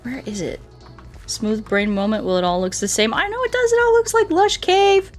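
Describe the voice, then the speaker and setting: A woman's voice: on the high side, normal speed. Playful woman streaming over game music. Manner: playful, self-deprecating, expressive. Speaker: livestreamer playing a game and chatting with viewers. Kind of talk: casual gameplay chat, reading out viewer comments. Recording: music playing behind the voice.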